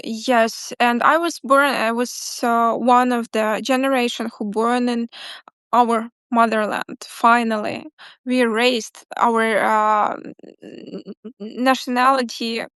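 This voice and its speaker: Slow, halting young woman, gravelly tone. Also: on the high side, fairly even intonation, fairly bright tone, no room sound. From Crimea, Ukraine. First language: Crimean Tatar. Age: 20s. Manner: halting, many fillers and restarts, apologetic. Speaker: interview guest. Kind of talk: casual interview talk.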